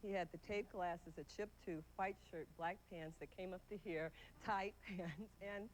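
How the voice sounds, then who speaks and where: A woman guest on a talk show, speaking thoughtfully with pauses and fillers. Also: on the low side, fairly even intonation. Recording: noisy old TV studio audio.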